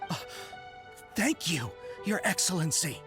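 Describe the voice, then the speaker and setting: An actress playing a loyal, fervent soldier in a video game, earnest and deferential to a superior. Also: female voice, on the low side, very animated, a little slow. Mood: taken aback. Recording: loud music behind the voice.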